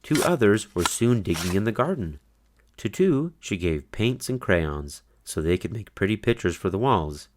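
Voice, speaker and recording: A male storybook narrator reading aloud for children. His voice sits fairly low and moves through very lively intonation, with deliberate, expressive phrasing. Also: slight background noise.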